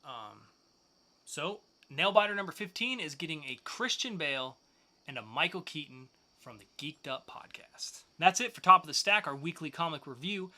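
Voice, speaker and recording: Guy podcast host, bright punchy voice, chatting through comic reviews, excited and slangy. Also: a little high, normal speed. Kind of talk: casual comic book review.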